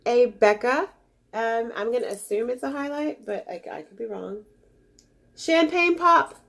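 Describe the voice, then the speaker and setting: A woman's voice: fairly high pitch, a little slow, gravelly, fairly bright tone. Stuffy-nosed woman, casual beauty vlogger, gushing and chatty. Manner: chatty, gushing, easily disappointed. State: congested. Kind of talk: casual unboxing chat.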